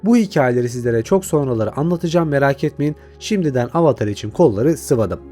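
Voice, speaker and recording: Man, scripted review narration, animated intonation, soft dark tone, light music underneath. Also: mid-range voice, fairly quick. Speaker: video channel host and reviewer. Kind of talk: scripted video essay narration.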